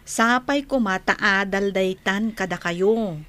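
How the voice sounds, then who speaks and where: An actress playing a gently concerned woman in an Ilocano radio drama, solicitous in her manner. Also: medium pitch, slow, clean tone. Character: concerned woman checking on an old man.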